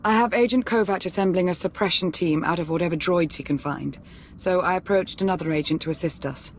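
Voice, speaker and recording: A woman playing a brisk, dry Sith adviser in a video game, clipped and businesslike. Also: lowish voice, slight background noise, natural voice. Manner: clipped, dryly sardonic.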